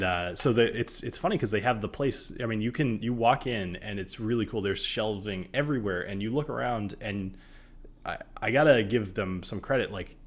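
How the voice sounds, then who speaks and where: A man in his thirties hosting a live stream in a casual, rambling, jokey conversational way. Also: fairly low pitch. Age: 30s. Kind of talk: casual chat about whiskey on a live stream.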